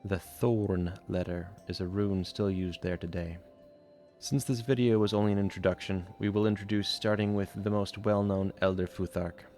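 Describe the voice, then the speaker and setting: A man giving scripted educational narration in a slow, measured and deliberate reading. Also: on the low side, fairly even intonation, a little mellow. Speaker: video narrator. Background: faint background music.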